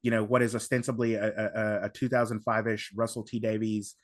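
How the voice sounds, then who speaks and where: A man on a podcast panel giving casual analysis, halting and repeating words as he builds his point. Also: on the low side, little pitch movement, no room sound, studio quality.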